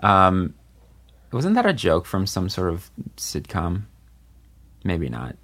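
Low-voiced man hosting casually. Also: huge pitch swings, slow, a bit bright. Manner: loose and rambling, many fillers. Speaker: podcast host. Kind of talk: casual chat.

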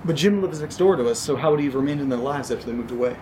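A man's voice: mid-range voice, rapid-fire, noisy background, natural voice. A man acting a level-headed, questioning young man in a horror audio drama, conversational and analytical.

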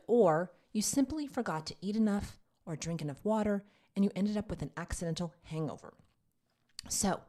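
A woman hosts a women's health podcast, and her voice is stuffy and congested. She speaks in a low, warm tone. She chats informally and addresses her listeners directly. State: nasal, congested. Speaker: podcast host and women's health nurse practitioner. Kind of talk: casual health podcast talk.

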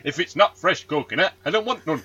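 A man's voice: fairly high pitch, expressive, fast talker, sharp tone, husky, highs cut off, voice pushed up, full character voice. A man putting on a Jamaican accent to play a boastful man from Kingston, joking with friends. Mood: mock boastful. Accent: put-on Jamaican. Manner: playful mock boasting.

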